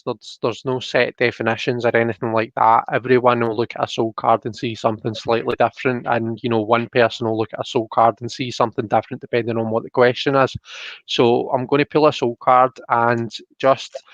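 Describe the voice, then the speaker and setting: Gravelly Scottish man hosting casually. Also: mid-range voice, fairly bright tone, no room sound, muffled recording. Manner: informal, stop-start, thinking aloud. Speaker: live stream host, tarot reader. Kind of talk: casual chat, talking viewers through a reading.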